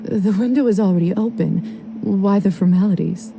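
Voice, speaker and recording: A woman's voice: medium pitch, moderate pace, vocal fry, background noise, natural voice. An actress playing a refined, wry young woman vampire in a video game, composed and politely teasing. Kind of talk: scripted video game voice acting.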